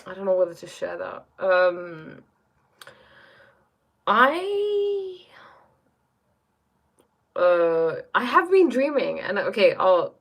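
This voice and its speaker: Brief: young woman, mid-range voice, live Q&A host, halting and filler-heavy. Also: medium pitch, big pitch swings. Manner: hesitant, lots of fillers and "like", reads comments aloud. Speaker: livestream host answering viewer questions. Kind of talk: casual livestream Q&A on spirituality.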